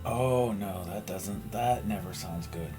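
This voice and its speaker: A man's voice: fairly low pitch, slow, a little mellow, faint music behind. A man giving casual commentary as he plays through a video game. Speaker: gameplay commentator. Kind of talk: casual let's play commentary.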